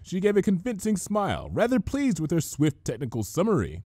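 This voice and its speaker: A man reading a comic story aloud as narrator, in an expressive, measured storytelling manner. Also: high-pitched, dry recording, clean studio sound. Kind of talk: dramatic scripted fan fiction reading.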